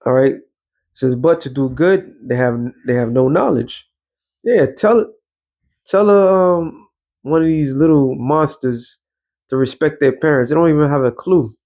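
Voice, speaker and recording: A man's voice: a little high, darkish tone. A man, giving blunt, profane commentary in a street-preacher cadence and reading out scripture.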